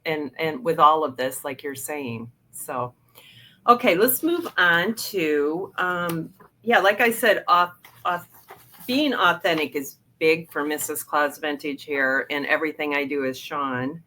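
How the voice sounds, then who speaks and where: A middle-aged woman with a low-pitched voice hosts a relaxed interview. She talks in a loose, chatty way, often stopping to restart a thought or fill a pause with "um.". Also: a little hiss or hum. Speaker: podcast host. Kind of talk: casual interview chat.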